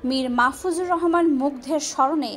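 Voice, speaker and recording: A woman's voice: sits high, normal speed. A woman reading a scripted news narration as a reporter. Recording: faint music behind voice. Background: faint background music.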